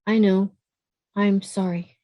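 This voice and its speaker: A woman acting a grieving, emotional woman in her late fifties in a play reading, speaking in a halting, heartfelt way. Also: medium pitch, steady tone, lo-fi sound. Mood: tearful, confiding. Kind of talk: play reading, naturalistic dialogue.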